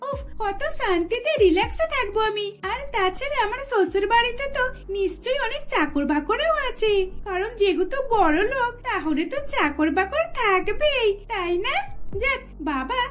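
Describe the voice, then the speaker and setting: A woman's voice: very high-pitched, lively intonation, normal speed, fairly bright tone. A woman voicing a spoiled, greedy young bride in a cartoon, with a gushing, demanding and exclamatory manner. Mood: smug delight.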